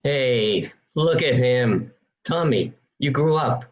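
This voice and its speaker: A man playing a cocky fifty-year-old New mobster, teasing loudly and familiarly in a dramatic screenplay read. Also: medium pitch, animated, takes it slowly. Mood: jovial. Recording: dull lo-fi home recording.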